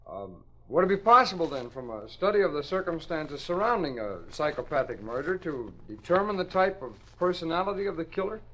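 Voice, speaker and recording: A man's voice: on the high side, fairly bright tone, low-quality recording. An American man playing an earnest, inquisitive graduate student in a 1950s radio drama, polite and studied. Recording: vintage radio broadcast.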